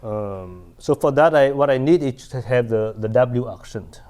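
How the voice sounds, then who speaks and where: Halting male math lecturer, mellow tone. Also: mid-range voice. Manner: halting, many restarts and fillers, asks "right?". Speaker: mathematics lecturer. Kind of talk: chalkboard lecture. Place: large lecture hall at a chalkboard.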